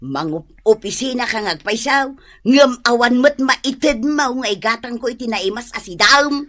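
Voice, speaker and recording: High, bright, nagging Ilocano wife. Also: female voice, lively intonation, moderate pace. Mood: fed-up, berating. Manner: complaining, berating. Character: nagging, fed-up wife demanding money for meat. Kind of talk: Ilocano radio drama acting.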